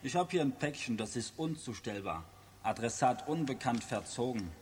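A man's voice: medium pitch, expressive, moderate pace, darkish tone, natural voice. A man playing a dutiful postman, courteous and matter-of-fact, in a 1970s children's radio play. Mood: businesslike. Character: dutiful, polite male postman on his rounds. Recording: long reverb, faint noise, old recording.

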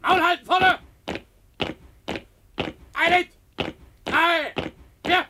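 A man's voice: very high-pitched, steady tone, extremely slow, exaggerated voice. A man playing a harsh, bullying military drill sergeant in a radio play, barking clipped orders. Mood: harsh, commanding. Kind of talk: radio play shouted commands. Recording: clearly noisy radio drama recording.

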